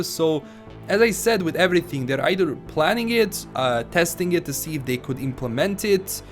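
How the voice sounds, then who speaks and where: A man narrating gaming news in an informal, explanatory way, speaking directly to viewers. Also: on the high side. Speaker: video game news YouTuber. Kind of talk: informal scripted video narration. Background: background music.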